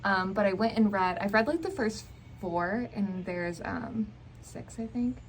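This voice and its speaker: A young woman talks casually about books with some noise around her. Her pitch is average and her delivery is informal and conversational, with plenty of hedging. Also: measured pace, poor audio. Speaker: book vlogger. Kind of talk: casual book chat. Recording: clear background noise.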